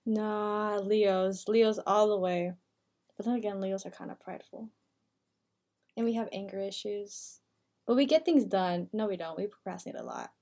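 A woman's voice: mid-range voice, moderate pace, dark tone. Chatty eighteen-year-old woman podcaster. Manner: chatty, leans on "like" fillers. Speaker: podcast co-host, university freshman. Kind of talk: casual podcast chat.

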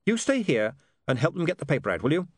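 A man's voice: on the high side, big pitch swings, fast talker, husky, no room sound, studio quality, voice pushed up. A man voicing an earnest, bitter young man of privileged birth in an audiobook, with a clipped, emphatic way of talking. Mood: agitated, bitter.

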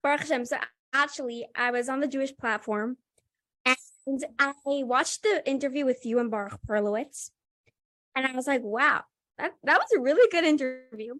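A woman's voice: sits high, normal speed, fairly bright tone. A young woman hosting a podcast, running a casual interview in an enthusiastic, upbeat way. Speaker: podcast host.

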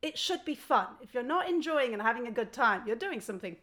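Higher-voiced woman in casual chat. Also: expressive, fast, fairly bright tone. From Belgium. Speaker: podcast guest.

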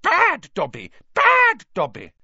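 Small male house elf, very shrill and high, servile, overwrought, animated, storybook creature, slightly dull recording. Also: moderate pace, no echo. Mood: frantic self-scolding. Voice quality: high-pitched, piercing. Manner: earnest, deferential, speaks of himself in third person. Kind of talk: audiobook character voice.

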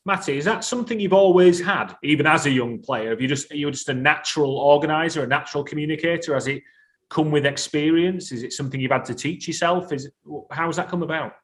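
A man's voice: a little high, fast talker. A man hosting a conversational sports interview, articulate and wordy in his questions. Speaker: show host, interviewer.

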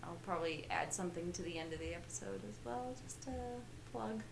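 A young woman with vocal fry, co-hosting a casual, bubbly film podcast. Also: fairly low pitch, a little fast, echoey room, crowd noise. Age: young adult. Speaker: podcast co-host. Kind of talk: casual film review chat. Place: on a bed in a bedroom.

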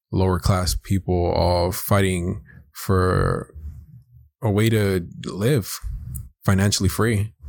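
Deep-voiced young American man. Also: darkish tone, dry recording. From South Los Angeles, United States. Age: 20s. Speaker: podcast co-host. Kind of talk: casual personal introduction.

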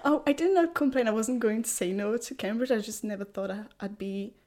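A woman's voice: on the high side, brisk, darkish tone. A French woman in her twenties, speaking English with a French accent as a podcast guest, hesitant, with many fillers and restarts. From France. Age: 20s. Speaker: guest, English language student. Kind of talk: casual podcast conversation.

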